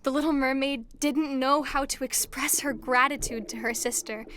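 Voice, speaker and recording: A woman's voice: sits high, steady tone, normal speed, fairly bright tone. A woman narrator reading a scripted fairy tale for an audio drama. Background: music.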